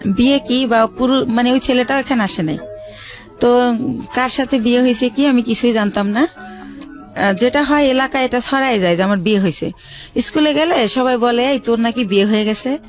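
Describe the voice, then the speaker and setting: A woman from Bangladesh telling her life story as a radio show guest, hesitant, with many pauses and fillers. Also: fairly high pitch, faint music behind.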